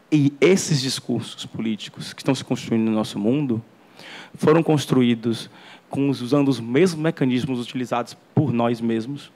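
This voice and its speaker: A man's voice: mid-range voice, darkish tone. A man giving an academic lecture in an auditorium, earnest, often restarting and repeating words. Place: university auditorium.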